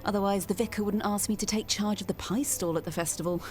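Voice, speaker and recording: An actress playing a troubled café customer with a wry, self-deprecating manner in a radio soap. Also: female voice, medium pitch, steady tone, fast, darkish tone, lightly acted. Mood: reflective.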